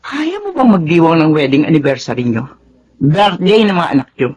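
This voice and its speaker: A woman's voice: low voice, big pitch swings, moderate pace, darkish tone, slightly rough, exaggerated voice. An actress playing a stern, protective widowed mother in a Filipino radio drama, firm and admonishing. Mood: grave, pleading.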